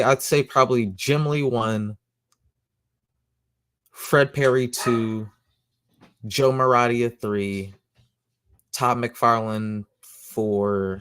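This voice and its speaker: A man hosting a comic book show, rambling casually in slang, who says he has a loud voice. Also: lowish voice, clear, resonant tone, dry recording. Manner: slangy, rambling, lots of "you know what I'm saying". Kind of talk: casual monologue.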